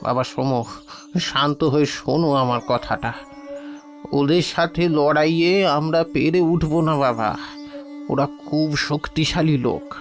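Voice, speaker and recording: Village patriarch, male actor: slow, creaky voice, cautious and resigned, light music behind. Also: on the high side. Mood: soothing, resigned. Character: resigned, cautious village father, middle-aged or older. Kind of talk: cartoon story dialogue.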